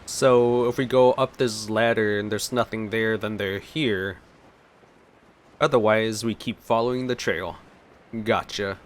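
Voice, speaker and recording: A man's voice: on the low side, fairly even intonation, measured pace, a little hiss or hum. A young man giving casual, easygoing let's play commentary with a playful, sarcastic touch.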